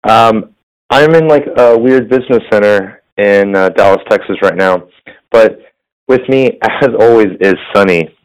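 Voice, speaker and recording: A slightly hoarse man hosting a casual tech podcast over a phone line, rambling with fillers and restarts. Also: on the low side, unhurried. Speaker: podcast host. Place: business center. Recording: phone-quality audio.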